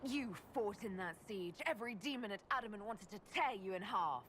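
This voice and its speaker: A woman's voice: medium pitch, expressive, a little fast, fairly bright tone, slight background noise, close to an everyday voice. An actress playing a composed, inquisitive female commander in a video game. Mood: wry. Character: curious, composed female commander, the game's heroine. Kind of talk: video game character dialogue.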